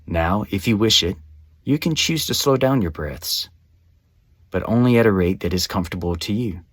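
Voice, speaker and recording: A man with a low voice leads a guided meditation from a script. His phrasing is measured and soothing, giving gentle instructions step by step. The sound is dry, with very little room in it. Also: lively intonation, dry recording.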